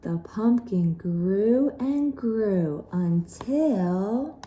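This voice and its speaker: Woman, storybook narration, slow pace, very soft dark tone, animated pitch. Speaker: children's story reader. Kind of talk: expressive scripted storybook narration.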